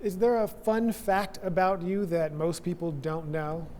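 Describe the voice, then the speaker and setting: A woman's voice: fairly low pitch, little pitch movement, a little slow, dark tone, slight background noise. A woman hosting a casual group interview, putting questions in a conversational way.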